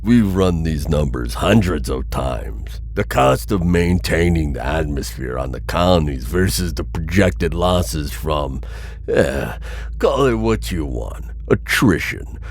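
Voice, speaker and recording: A man performs a callous executive in an audio roleplay. His voice sits very low and he lays things out in a matter-of-fact, dismissive manner. There is faint background noise and ambience. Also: expressive, slow pace, heavily acted. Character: callous corporate executive, a cold pragmatic man. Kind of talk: audio roleplay acting, boardroom recording.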